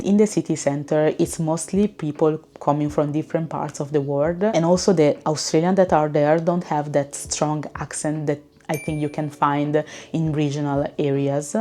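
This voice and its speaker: A young woman, chatting casually to camera in a vlog, relaxed and full of fillers. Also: low-pitched. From Italy. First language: Italian. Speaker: vlogger.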